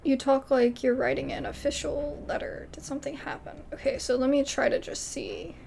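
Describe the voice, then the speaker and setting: High, creaky-voiced woman gaming streamer. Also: lively intonation, moderate pace, darkish tone, a little hiss or hum. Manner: playful, apologetic asides. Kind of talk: casual let's-play commentary, reading subtitles aloud.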